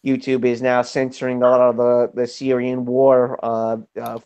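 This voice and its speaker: A man talking in a casual online group chat, halting and restarting his sentences often. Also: mid-range voice, monotone, a little mellow, smooth.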